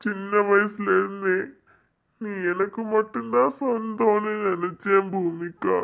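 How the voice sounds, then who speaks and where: Man acting as a heartbroken young lover, gravelly, slow, anguished pleading, dull lo-fi sound. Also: sits high, fairly even intonation, heavily acted. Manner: pleading, anguished, repeats lines. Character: heartbroken young man lamenting lost love. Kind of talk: dramatic monologue.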